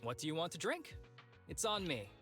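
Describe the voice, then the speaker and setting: A man's voice: a little high, huge pitch swings, normal speed, bright, forceful tone, soft background music, exaggerated voice. A man voicing an easygoing, wry young male agent in a video game, with a casual, knowing manner. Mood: playful.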